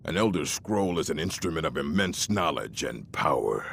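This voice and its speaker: An actor voicing a stern, grumpy old orc librarian in a fantasy game, gruff and contemptuous, with a gravelly voice. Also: male voice, very deep voice, very animated, normal speed, fairly bright tone, slight background noise, exaggerated voice. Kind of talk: fantasy video game voice acting.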